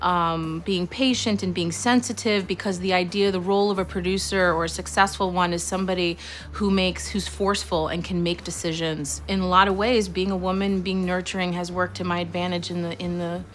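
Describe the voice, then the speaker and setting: A woman's voice: fairly low pitch, steady tone, fairly quick, fairly bright tone, a little hiss or hum. Reflective woman film producer. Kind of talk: documentary interview.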